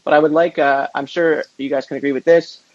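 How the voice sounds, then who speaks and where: A man's voice: on the high side, steady tone, a little fast, no room sound. A man co-hosting a casual sports chat in an informal, hedging way, on a slightly muffled microphone. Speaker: podcast co-host.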